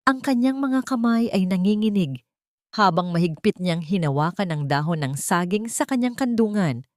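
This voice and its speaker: A woman's voice: medium pitch, animated, normal speed, darkish tone, no room sound, studio quality. A woman reading scripted dramatic story narration in a solemn manner.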